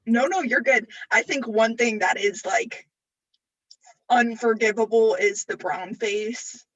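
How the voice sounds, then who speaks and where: A young woman speaking informally in a group discussion, giving emphatic opinions in loose, halting phrases. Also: a little high, husky, rough recording. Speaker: student group member.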